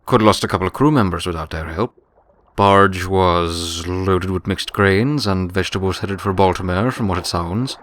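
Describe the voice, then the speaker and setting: A man's voice: low voice, moderate pace, a bit bright. A man voicing an elderly earth pony manservant in a storybook, deferential, folksy and plain-spoken. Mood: matter-of-fact. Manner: plain-spoken, polite, understated.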